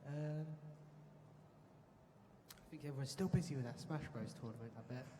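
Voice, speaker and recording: A man's voice: medium pitch, moderate pace, warm tone, slight background noise. Chatty man moderating in echoey hall. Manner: chatty, laughing often. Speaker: panel moderator. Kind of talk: casual panel Q and A. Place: convention hall stage.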